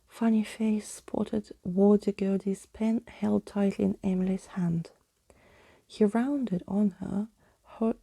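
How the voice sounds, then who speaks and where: A woman reads a story as bedtime ASMR in a soft, breathy whisper. Her tone is very dark and mellow, with heavy crackle at the edges. She takes her time, keeping a slow, hushed delivery close and dry. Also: mid-range voice, dry recording. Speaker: ASMR reader.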